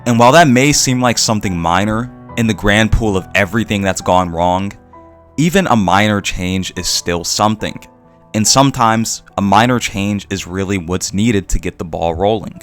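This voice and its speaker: Low-voiced man narrating a reflective video essay. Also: moderate pace. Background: background music.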